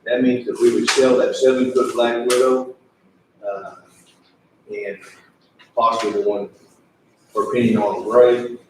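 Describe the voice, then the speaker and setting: A man chairing an informal public meeting in a folksy, halting way, repeating himself. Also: mid-range voice, flat delivery. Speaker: judge presiding over county commissioners meeting. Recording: echoey meeting room, distant mic, faint noise.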